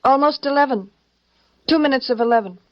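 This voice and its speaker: An actress playing an anxious, devoted lover in a vintage radio thriller, earnest and pleading. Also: female voice, sits high, a little fast, no room sound, natural voice. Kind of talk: 1950s radio thriller acting. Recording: dull vintage radio recording.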